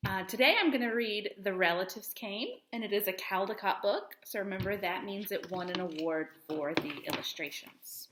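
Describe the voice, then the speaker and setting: A woman teacher reading a storybook aloud to children with expressive, deliberate storytelling phrasing. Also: mid-range voice, roomy sound, background noise.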